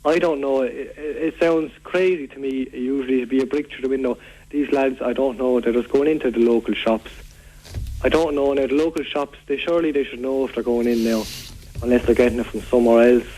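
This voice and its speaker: A man's voice: mid-range voice, a little fast, warm tone, lightly acted, low-quality recording. A man with a County Waterford Irish accent playing an aggrieved, rambling local who earnestly complains as a caller on a radio phone-in. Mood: indignant. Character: aggrieved, rambling local man fed up with food-throwing youths. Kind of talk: prank call to a local radio show.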